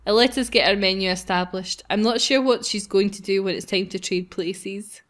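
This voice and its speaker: A woman's voice: medium pitch, moderate pace, a bit bright. A woman hosting a gaming video, reading a visual novel aloud and breaking into casual banter.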